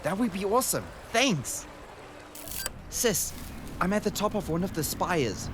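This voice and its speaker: Earnest young boy traveler played by a man, fairly high voice, gentle radio-drama storytelling over clear background noise. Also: big pitch swings, a little slow. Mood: friendly, eager. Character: earnest, wonder-struck boy recording a journey for his sister. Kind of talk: radio drama narration and dialogue. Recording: noisy outdoor ambience behind the voice.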